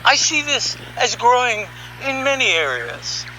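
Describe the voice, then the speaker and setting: A man narrating a podcast story in a conversational, wry and musing way, with emphatic phrasing and dramatic pauses. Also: very high voice, big pitch swings, pushed and bright, a little hiss or hum, rough recording. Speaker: storyteller and voice session director.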